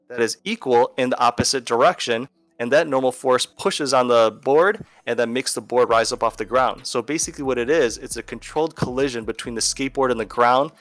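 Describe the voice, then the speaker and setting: A man's voice: mid-range voice, a bit bright, dry recording. Dry-sounding man teaching a lesson. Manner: conversational, step-by-step explaining. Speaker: physics teacher. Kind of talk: explanatory video lesson narration.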